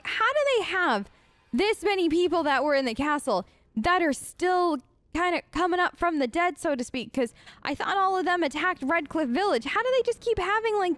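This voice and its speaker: A woman's voice: very high-pitched, no echo. A woman giving casual, playful let's play commentary, chatty and exclaiming, with game sounds behind her. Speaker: gaming host. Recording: loud game noise behind voice. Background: game sounds and ambience.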